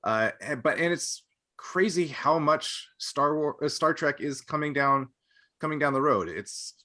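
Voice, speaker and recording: A man hosting a casual podcast discussion, thinking aloud in a halting way. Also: on the high side, lively intonation, bright, forceful tone. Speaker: podcast host.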